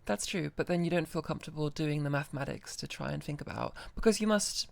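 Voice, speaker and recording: A woman casually questioning a conversation partner as an interviewer, polite, curious and gently persistent. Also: low-pitched, fast, gravelly. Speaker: interviewer testing an AI voice.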